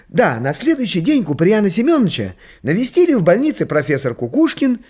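An actor playing the author, a confiding storyteller with a husky voice narrating a radio play. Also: male voice, a little high, darkish tone, full character voice.

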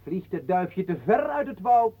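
An actor playing a sly, teasing gentleman showman in a radio drama, theatrical, singsong and mock-courteous. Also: high-pitched, big pitch swings, a little slow, phone-quality sound, exaggerated voice.

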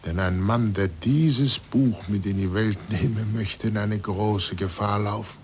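A man acting a weary, reflective old blind scientist in a 1940s German radio drama, measured and declamatory, sinking to a near-whisper. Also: steady tone. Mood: guarded, weary. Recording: noisy 1940s radio recording with rumble.

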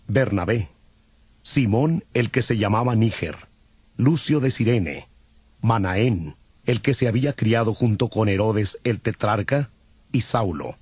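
A man reading scripted scripture narration in a solemn, measured way. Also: lowish voice, a little mellow, slightly rough, no room sound. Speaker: Bible narrator. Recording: music under the voice.

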